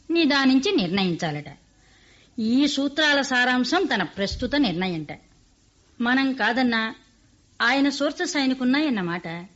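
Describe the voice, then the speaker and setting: An actress playing a calm, sensible middle-aged mother in a radio play, explaining things with composure. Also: a little high. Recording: dull lo-fi radio recording.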